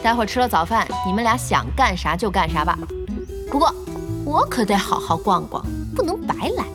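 An actress playing a bossy, good-hearted young woman who runs an internet cafe in an audio drama, brisk and scolding with lots of exclamations. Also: medium pitch, sing-song, over music, close to an everyday voice. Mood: cheerful.

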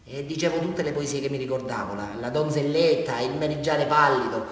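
Actor as rambling southern Italian confessor, cavernous echo. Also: male voice, medium pitch, close to an everyday voice. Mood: dreamy, tender. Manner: rambling, halting, swinging from hushed to loud. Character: disturbed, simple-minded male criminal confessing, rambling and childlike. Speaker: actor in a radio play. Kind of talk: radio drama confessional monologue. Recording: distant, very echoey reverberant hall.

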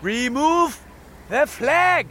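An actor playing a cynical, brash fake war reporter in a radio crime drama, speaking in a sardonic, colloquial, commanding way. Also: male voice, very high-pitched, expressive, extremely slow, pushed and bright, slight background noise, full character voice. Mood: shouting orders. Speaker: protagonist and first-person narrator. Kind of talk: radio crime drama acting with casual narration. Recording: music behind the voice.

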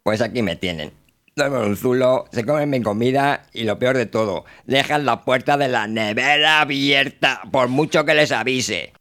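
A man's voice: medium pitch, moderate pace, sharp tone. A man playing an aggrieved old homeowner who poses as a ghost in an audio drama, complaining about his lot. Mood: indignant, grumbling. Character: grumbling evicted old male owner posing as a ghost.

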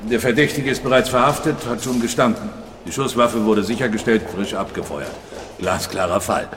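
An actor playing a brusque, impatient secret police superior in a radio drama, giving curt orders in clipped sentences. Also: male voice, fairly low pitch, fairly quick, lightly acted. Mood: curt, businesslike. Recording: distant, reverberant hall with faint noise.

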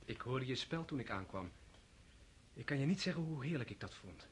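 A man's voice: medium pitch, animated, normal speed, noisy background, close to an everyday voice. An actor playing an earnest, formal young nobleman asking for a girl's hand in a radio play.

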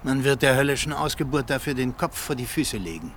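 An actor playing a haughty, threatening nationalist official in a radio drama, speaking coldly. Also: male voice, mid-range voice, expressive, a little fast, fairly bright tone, a little hiss or hum. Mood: cold menace. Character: menacing, authoritarian male nationalist official.

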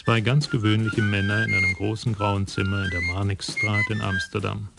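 A man narrating a radio crime play in a wry, measured storytelling manner. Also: lowish voice, flat delivery, creaky, over loud music. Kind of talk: scripted radio drama narration.

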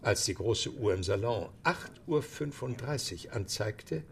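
Gravelly narrator of a radio play. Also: male voice, fairly low pitch, expressive, measured pace. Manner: vivid, storytelling.

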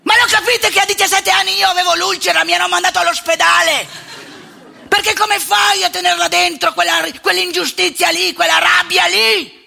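A woman playing an outspoken, rebellious elderly male priest in a colloquial theatrical monologue, wry and impassioned, with a husky, gravelly voice. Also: extremely high pitch, fairly even intonation, moderate pace, sharp tone, full character voice. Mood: indignant, emphatic. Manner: colloquial, confiding, swinging into emphatic indignation.

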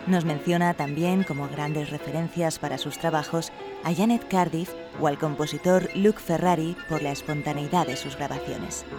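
A radio host reading scripted narration in a measured, introductory manner, with a mid-range voice. Music plays clearly in the background behind the narration. The voice sounds like a produced radio programme. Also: mid-range voice, steady tone.

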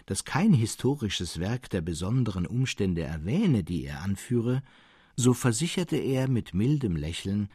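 An actor playing a thoughtful storyteller fascinated by madness, narrating a literary radio drama in a solemn, deliberate manner. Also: male voice, lowish voice, darkish tone, husky, no room sound, studio quality, full character voice. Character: thoughtful male storyteller Cyprian, fascinated by madmen.

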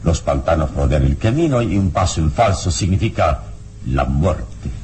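A man playing a serene, wise hero in a radio serial, speaking in a measured, solemn, calming way. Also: rumbling low, a little hiss or hum. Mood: grave, composed. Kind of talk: old radio serial acting.

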